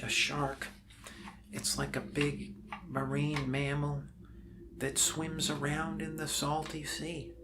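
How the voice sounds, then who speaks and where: A man in an ASMR role-play playing a friendly doctor who rambles casually and haltingly to reassure an alien patient. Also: mid-range voice, vocal fry, background noise.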